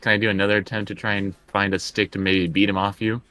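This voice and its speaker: A man's voice: lowish voice, flat delivery, fast, sharp tone. A man chatting casually as a player in a tabletop role-playing game. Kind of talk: casual chat during tabletop game play.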